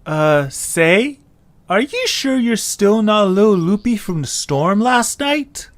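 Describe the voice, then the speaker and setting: A man's voice: high voice, big pitch swings, takes it slowly, full character voice. A man playing a cautious, skeptical young cartoon creature in an amateur audio drama, with a sardonic, questioning manner. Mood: concerned, puzzled.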